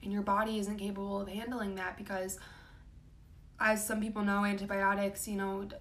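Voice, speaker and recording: A young woman with a raspy, low voice, talking casually about her life with many ums and likes. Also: level tone, brisk, fairly bright tone. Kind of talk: personal vlog talk. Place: home room.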